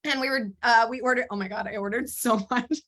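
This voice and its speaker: A woman co-hosting a podcast in casual chat, talking excitedly and laughing often, her voice a little strained as she recovers from laryngitis. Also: fairly high pitch, brisk. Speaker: podcast co-host.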